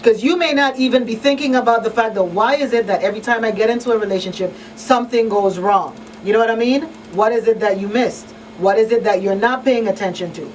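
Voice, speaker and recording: A woman's voice: on the high side, fast talker, few pauses. A woman hosting an informal panel discussion, speaking emphatically and repeating points for weight as she gives advice. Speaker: radio talk show host and crisis counselor. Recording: distant microphone, noisy room ambience.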